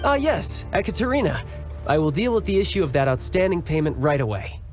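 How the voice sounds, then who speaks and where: A man's voice: on the high side, very animated, brisk. A man voicing a charming, confident young warrior and doting big brother who talks suavely and playfully in a video game. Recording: game audio with loud music.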